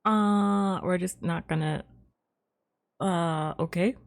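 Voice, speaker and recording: Low-voiced, creaky woman game streamer. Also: fairly even intonation, slow pace. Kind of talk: casual live game commentary.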